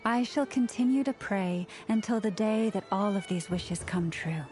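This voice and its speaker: A woman as an earnest mid-pitched game companion. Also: normal speed, a little mellow, lightly acted. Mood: hopeful. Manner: earnest, reassuring, formal phrasing. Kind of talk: dramatic video game voice acting. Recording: music behind the voice. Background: music.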